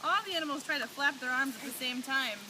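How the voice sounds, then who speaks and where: High-voiced woman narrating, very noisy recording. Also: fast talker. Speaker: narrator and puppet show leader. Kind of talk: children's story narration, directing young performers. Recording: very noisy amateur room recording.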